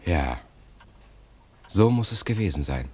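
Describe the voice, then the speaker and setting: An actor playing an earnest, philosophizing investigator in a 1960s German radio play, speaking in a deliberate, explanatory way. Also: male voice, low-pitched, very animated, a little mellow, slightly rough, exaggerated voice. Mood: pensive. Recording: 1960s mono radio recording.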